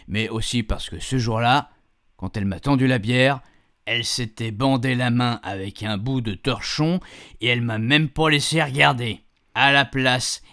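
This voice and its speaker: A man's voice: medium pitch, a bit bright, no echo, studio quality, natural voice. A man playing a chatty older husband who tells his camping memories in colloquial French-accented speech for a radio fiction, savouring words and grumbling in asides. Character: chatty, grumbling, nostalgic married man, an amateur camper. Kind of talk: first-person storytelling in a radio fiction podcast.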